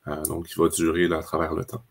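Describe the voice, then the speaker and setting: A man, presenting software in a webinar and explaining it step by step with frequent fillers. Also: very deep voice, completely flat delivery, quick pace, gravelly. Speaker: customer success manager.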